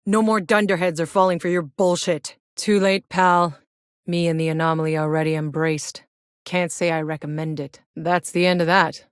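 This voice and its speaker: Casting: actress to play battle-hardened sci-fi game heroine, female, lowish voice, blunt, wry, very dry close recording. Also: fairly even intonation, moderate pace, clean tone, dry recording, lightly acted. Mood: defiant, dry. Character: tough, sardonic battle-hardened female soldier in sci-fi wasteland. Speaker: video game voice actor. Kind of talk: scripted video game character voice lines.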